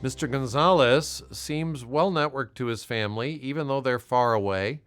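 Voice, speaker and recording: An American man speaking as a volunteer caller, courteous and measured, with hesitant pauses as he talks. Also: mid-range voice, a bit bright, smooth, faint music behind. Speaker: volunteer caller doing a welfare check. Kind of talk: gentle check-in questions and spoken case notes.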